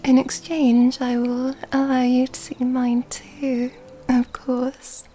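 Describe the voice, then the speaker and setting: A woman acting as an elegant, predatory vampire lady in an ASMR roleplay. Her breathy, whispered voice sits high but stays soft and dark, unfolding very slowly with teasing, mysterious formality. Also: monotone, slight background noise. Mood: admiring, tender. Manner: slow, teasing, formal old-fashioned wording.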